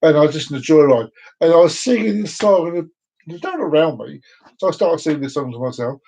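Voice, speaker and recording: A man's voice: on the high side, expressive, fast talker, dark tone, a little hiss or hum. A man hosting a casual solo live stream, rambling and self-mocking, breaking into off-key singing now and then. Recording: dull lo-fi sound.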